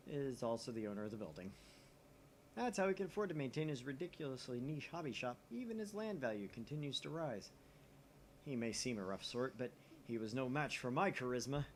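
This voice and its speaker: A man puts on a grandiose mad scientist from a visual novel. He is paranoid and pompous, with theatrical, wide swings of pitch, recorded over faint noise. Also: lively intonation, brisk, natural voice. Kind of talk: visual novel dialogue read aloud.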